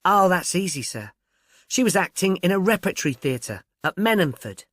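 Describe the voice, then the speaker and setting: A timid young working-class woman, acted by a male narrator, polite and eager to defer. The pitch is lifted and moves about freely, with a hesitant, earnest delivery in audiobook dialogue. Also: very animated, normal speed, a bit bright, dry recording, studio quality, heavily acted. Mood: helpful, deferential.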